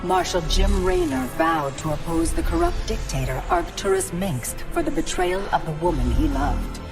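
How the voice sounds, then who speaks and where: An actress narrating a game's story recap as a solemn chronicler of a science-fiction war, in dramatic scripted style. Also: female voice, on the low side, sing-song, moderate pace. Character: solemn female chronicler of a sci-fi war saga. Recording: loud soundtrack music behind.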